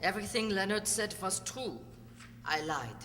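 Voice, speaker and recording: A woman's voice: mid-range voice, expressive, moderate pace, a bit bright, lightly acted. An actress playing a haughty, bitter, defiant woman witness theatrically in a courtroom radio play. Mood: bitter confession. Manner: indignant, theatrical. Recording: reverberant hall.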